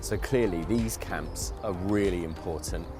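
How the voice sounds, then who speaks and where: A head coach with a very low, deep voice speaks in a matter-of-fact, explanatory way. He is being interviewed. Music plays behind him. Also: measured pace.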